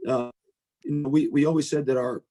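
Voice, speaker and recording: A man's voice: mid-range voice, fairly even intonation, a little fast, dark tone. A middle-aged man with an American accent speaks informally and discursively as a guest on a video call, with some self-deprecation. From United States. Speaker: venture capitalist, guest speaker.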